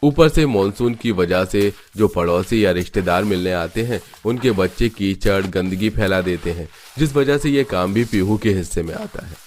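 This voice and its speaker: A man's voice: low voice, normal speed, hardly any pauses, a little mellow, slight background noise. A man narrating a scripted cartoon family story.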